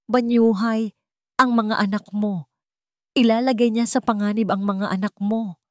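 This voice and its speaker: A woman's voice: fairly high pitch, fairly even intonation, unhurried, no room sound, clean studio sound, voice pushed up, natural voice. Woman acting anxious, secretive older rich mother. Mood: fearful, pleading.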